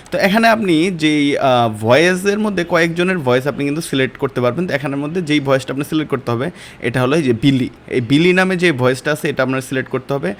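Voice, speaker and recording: Bangladeshi man giving an informal tutorial. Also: medium pitch. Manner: informal colloquial speech. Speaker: online course instructor. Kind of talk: step-by-step software tutorial.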